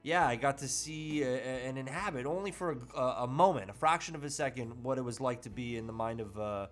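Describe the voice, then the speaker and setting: A man chatting casually as a comedy guest, in a halting, mock-earnest way. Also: medium pitch, fairly bright tone, faint music behind. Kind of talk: casual chat.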